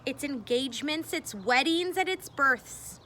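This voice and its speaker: A woman reading a memoir aloud expressively, with deliberate phrasing and dramatic emphasis. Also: high-pitched, expressive, pushed and bright, slight background noise. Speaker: reader.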